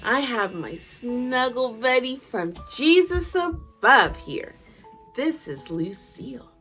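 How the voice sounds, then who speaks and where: A woman in her thirties hosting bedtime stories for children, with exaggerated, deliberate phrasing as she reads to young listeners. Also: a little high, sing-song, bright, forceful tone. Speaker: host of a children's bedtime story series. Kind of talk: children's read-aloud, storytelling to young listeners. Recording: music behind the voice. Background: music.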